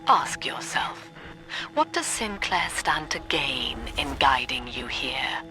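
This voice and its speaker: An actress playing a cold, cerebral middle-aged psychiatrist and cult leader in a video game, speaking in a formal, precise, solemn manner. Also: female voice, very deep voice, sing-song, takes it slowly, over music, full character voice. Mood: grave, accusing. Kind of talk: dramatic video game monologue.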